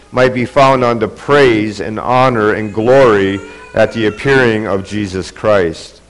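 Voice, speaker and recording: A man's voice: fairly low pitch, rough recording. A man preaching a sermon, congested from a cold, earnest and building in intensity. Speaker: pastor. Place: church.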